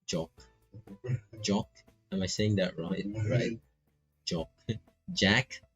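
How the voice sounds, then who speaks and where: A man's voice: lowish voice, very animated, drawn-out words, a bit bright, breathy edge. A man casually reading a game aloud and tossing in joking, slangy asides as a streamer. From Philippines. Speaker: gaming streamer. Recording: slightly dull, lo-fi mic. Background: faint game music.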